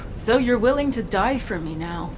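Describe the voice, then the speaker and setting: A woman's voice: a little high, expressive, normal speed, noisy background, lightly acted. A woman voicing a vengeful, wounded soldier with a prosthetic body, bitter and accusing in a dramatic video game style. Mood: angry, bitter. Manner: accusing, intense.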